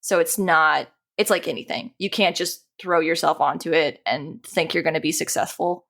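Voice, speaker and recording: A woman's voice: low-pitched, fairly even intonation, normal speed, creaky, fairly bright tone. A woman chatting casually as an interview guest, informal and self-deprecating in the way she talks. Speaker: podcast guest, animator and dungeon master.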